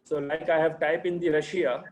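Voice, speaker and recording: A man's voice: a little high, level tone, normal speed. An Indian man with a slightly raised voice walks listeners through a web platform. He speaks deferentially, addresses his superior as sir, and explains each feature step by step. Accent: Indian English. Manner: deferential, calls the host sir. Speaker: platform demonstrator. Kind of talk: guided demo of a web platform.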